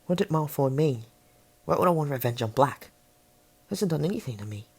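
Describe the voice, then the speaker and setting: A man's voice: a little high, animated, normal speed, gravelly, a little mellow, slightly rough, full character voice. A man playing a hesitant, puzzled schoolboy in an audio drama. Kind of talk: fan audio drama acting.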